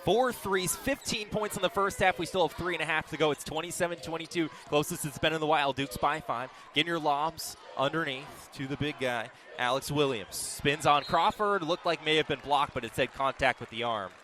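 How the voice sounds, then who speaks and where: A man calling live basketball play-by-play for radio in clipped, descriptive phrases that build to excited calls on big plays. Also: on the high side, brisk, hardly any pauses. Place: indoor basketball arena. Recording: live arena broadcast with game noise.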